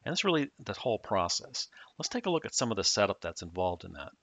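Bright, low-voiced man narrating a tutorial. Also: sing-song, quick pace, dry recording. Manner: plain, step-by-step explaining. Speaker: software demonstrator.

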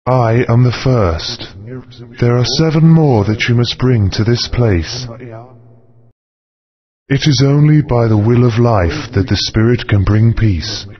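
A man's voice: mid-range voice, animated. An actor voicing an ancient, solemn male spirit god in a video game, speaking portentously, with muffled, compressed audio. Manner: slow, solemn, portentous delivery. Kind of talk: scripted video game character acting.

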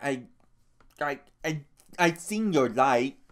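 Brief: man voicing a hulking, simple, childlike ranch hand, stammering, pitched higher, slow and halting, storybook reading. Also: animated, fairly bright tone. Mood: pleading. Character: big slow-witted childlike ranch hand, timid and eager.

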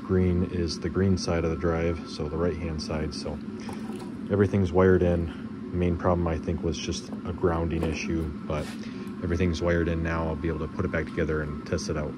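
A man's voice: deep voice, moderate pace, heavy background noise. A man casually narrating his truck repair as he works in a large garage workshop, thinking aloud on a body camera. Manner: relaxed, thinking aloud while working. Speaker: vlogger restoring an old truck. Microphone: handheld or body camera.

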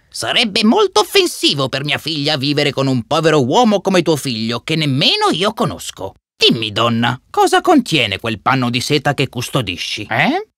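Pompous, wildly swooping storybook sultan. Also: male voice, a little high, huge pitch swings, a little slow, pushed and bright, no echo, studio quality, heavily acted. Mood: disdainful. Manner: pompous, theatrical hums and exclamations. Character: haughty sultan, imperious yet curious and easily impressed. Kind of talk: children's fairy tale cartoon acting.

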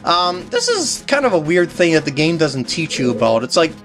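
Animated man doing jokey game commentary. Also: fairly high pitch, normal speed. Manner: jokey, rambling, lapses into silly voices for characters. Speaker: video game walkthrough commentator. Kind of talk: casual gameplay commentary. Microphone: voice recorded on a compact camera's microphone. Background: video game music.

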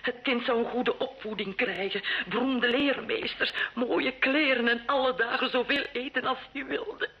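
An actress playing a poor, grieving peasant mother in a radio play, meek and halting. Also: on the high side, fairly even intonation, close to an everyday voice. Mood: grieving, humble. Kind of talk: Dutch-language radio play acting. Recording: dull, lo-fi old radio recording.

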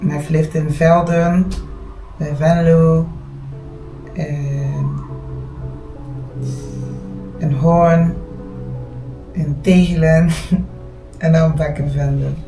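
A woman's voice: sits low, fairly even intonation, very slow, long pauses, dark tone. Woman, thirties, Dutch accent, casual vlog host, roomy sound, music in the background. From Limburg, Netherlands. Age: 30s. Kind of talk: casual chat. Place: living room of a home salon, indoors. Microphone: camera a few metres away.

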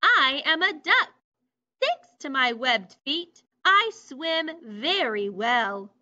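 A woman's voice: big pitch swings, slow pace, heavily acted. A woman reading as a cozy little duck. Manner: gentle read-aloud voice with a very high quack. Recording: muffled lo-fi recording.

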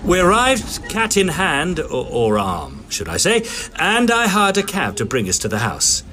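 An actor playing a polite, fussy Edwardian gentleman ghost hunter in an audio drama, with an English accent and a formal, slightly hesitant manner. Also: on the high side, wildly swooping pitch, a little slow, noisy background. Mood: wry narrating. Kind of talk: audio drama acting and first-person narration.

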